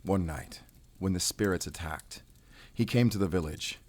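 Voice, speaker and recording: A man playing a brooding, world-weary werewolf hunter in an audio roleplay, speaking in a measured, solemn, introspective way. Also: sits low, darkish tone. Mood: solemn storytelling. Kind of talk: cinematic audio roleplay acting.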